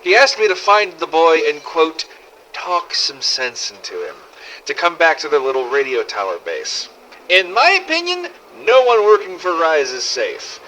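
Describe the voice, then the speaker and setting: A man's voice: a little high, sing-song, normal speed, sharp tone, slight background noise, rough recording. A man playing a wry, weary apocalypse survivor who narrates an audio diary in an exasperated, sweary way. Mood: matter-of-fact, wary. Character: sardonic, weary male survivor runner in a zombie apocalypse. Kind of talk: in-character audio diary narration, fan-made game audio logs.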